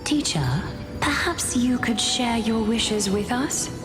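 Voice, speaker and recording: A woman's voice: a little high, expressive, slow pace. A woman playing a haughty noble lady in a fantasy game, cold and imperious. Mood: cold disdain. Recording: long hall reverb under music.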